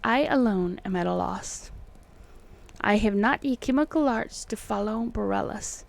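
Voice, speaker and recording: A woman voicing an uneasy, secretive old colonial occultist man, reading his letters in solemn, halting archaic phrasing. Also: mid-range voice, gravelly. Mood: grave warning.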